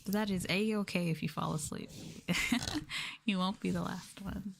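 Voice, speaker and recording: A woman doing a live ASMR stream, talking softly and breathily to viewers in a gentle, subdued way, often dropping into a whisper close to the mic. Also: medium pitch, steady tone, unhurried, creaky, background noise. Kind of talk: ASMR soft talking, casual chat with viewers. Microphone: close ASMR microphone.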